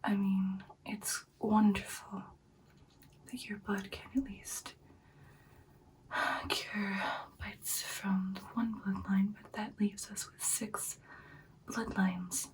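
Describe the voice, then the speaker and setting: A woman playing a devoted, hesitant vampire girlfriend in an ASMR roleplay, speaking in a soft, breathy, halting whisper. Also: mid-range voice, level tone, distant mic, lightly acted. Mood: wry, doubtful. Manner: soft, halting, deliberate, intimate. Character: devoted, hesitant female vampire girlfriend plotting with her werewolf lover.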